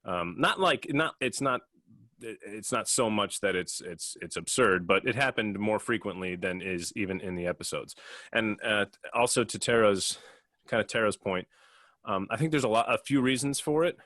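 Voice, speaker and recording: A man co-hosting a casual podcast discussion, speaking haltingly and often restarting his phrases. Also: lowish voice, pushed and bright, no echo. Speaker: podcast host and audio editor.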